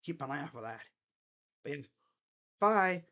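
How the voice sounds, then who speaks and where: A man hosting his own sports recap channel, talking as an excited fan who trash-talks rivals and whose voice is getting hoarse. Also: fairly high pitch, unhurried. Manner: animated, trash-talking, laughs often.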